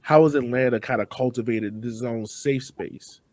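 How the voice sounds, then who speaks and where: A man's voice: medium pitch, fairly even intonation, normal speed, a little mellow, no echo. A man hosting a podcast, asking interview questions in a casual conversational way. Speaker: podcast host.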